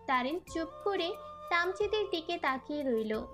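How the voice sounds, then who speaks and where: খুব চড়া স্বরের নারী গল্পকথক. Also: female voice, extremely high pitch, expressive, moderate pace. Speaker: story narrator. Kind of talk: scripted story narration. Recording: background music under narration. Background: music.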